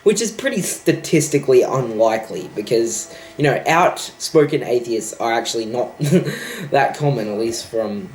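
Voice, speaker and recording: A man's voice: mid-range voice, lively intonation, moderate pace, darkish tone, slight background noise. A young man ranting about a film in a sarcastic, profane, exasperated way. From Australia. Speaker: vlogger. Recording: echoey room.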